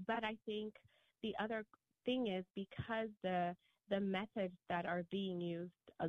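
A woman from Ethiopia, a guest author on a radio talk show, answering earnestly and in a measured way with frequent restarts, heard over a remote line. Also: lowish voice, no room sound. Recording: tinny phone-line sound, dry.

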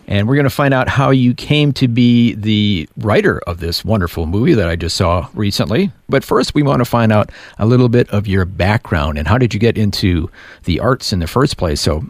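A man's voice: fairly low pitch, expressive, normal speed, no echo. A man hosting a radio interview, asking guiding questions and giving short affirmations. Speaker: radio show host.

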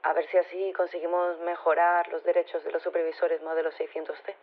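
An actress playing an earnest, flustered robotics teacher turned activist, talking hesitantly and chattily over a phone filter in an audio drama. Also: female voice, on the low side, flat delivery, fairly quick. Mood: flustered, grateful. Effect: telephone filter. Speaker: phone-in interviewee. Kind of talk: radio interview in audio fiction. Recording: thin, narrow phone line.

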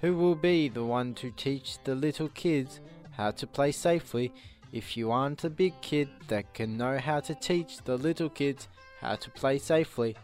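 A man playing an earnest, slow-witted host of an instructional video, explaining things in repetitive circles. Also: medium pitch, darkish tone, faint music behind, natural voice. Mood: earnest, upbeat. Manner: repetitive, circular, over-explaining.

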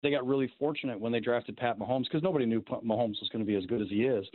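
A man calling a sports radio show over the phone, laying out his take as a fan in a measured, reasoned way. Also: medium pitch. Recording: narrow, tinny phone line.

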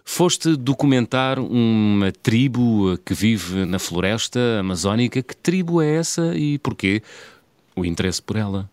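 Low-voiced Portuguese male interviewer. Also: expressive, unhurried, a little mellow, no room sound. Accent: European Portuguese. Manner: friendly, laughs often, short backchannels. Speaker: podcast host. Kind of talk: conversational interview.

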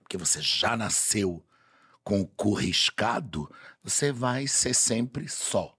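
Husky, theatrical man. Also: on the low side, lively intonation, slow pace, pushed and bright. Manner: theatrical, flamboyant. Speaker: guest. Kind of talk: casual conversation.

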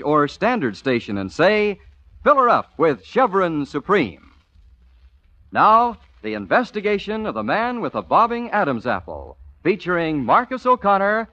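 A man's voice: fairly high pitch, a bit bright, no echo. A man with an American accent announcing on 1940s radio in an emphatic, polished salesman's delivery. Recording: muffled, dry 1940s radio recording.

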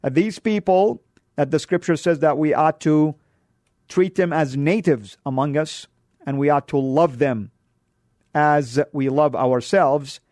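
A man's voice: measured pace, a little mellow, no room sound, clean studio sound. A man from Arabia, hosting a radio show and giving an emphatic sermon-style exhortation. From Saudi Arabia. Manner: emphatic, pitch rising on key words.

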